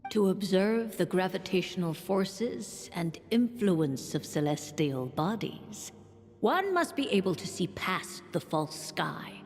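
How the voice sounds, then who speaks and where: A woman voicing a calm, grave older sorceress mentor, speaking in a measured, scholarly way for a video game. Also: lowish voice, exaggerated voice. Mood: grave, instructive. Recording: long hall-like reverb. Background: game music.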